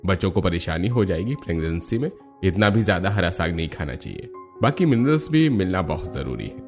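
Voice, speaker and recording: An actor playing a patient male doctor in a Hindi cartoon, explaining calmly. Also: sits low, brisk, soft background music. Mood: advising. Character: male doctor, calm and advising.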